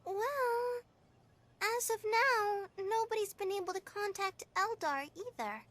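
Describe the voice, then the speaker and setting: A woman's voice: very high-pitched, expressive, slow, fairly bright tone, full character voice. A woman with an American accent voicing a brash, teasing young mission operator in an anime-style game, perky and theatrical. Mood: briskly official.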